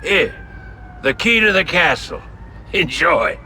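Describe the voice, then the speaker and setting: Gruff mobster, swooping intonation, sarcastic, brusque, clear background noise. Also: male voice, on the high side, big pitch swings, slow pace, bright, forceful tone, heavily acted. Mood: sarcastic, impatient. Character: gruff, sarcastic male mob fixer. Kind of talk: video game cutscene acting.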